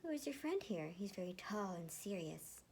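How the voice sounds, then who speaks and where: A woman voicing a warm, doting mother in an amateur story reading. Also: mid-range voice, very animated, normal speed. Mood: gentle, welcoming. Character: warm, doting mother delighted by her sons. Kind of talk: amateur fanfiction read-aloud. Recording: noticeable background noise.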